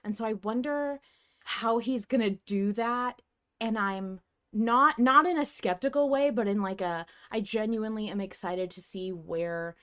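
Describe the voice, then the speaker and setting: A woman's voice: mid-range voice, unhurried, a bit bright, clear, resonant tone. A woman hosting a podcast in casual, enthusiastic discussion. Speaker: podcast host.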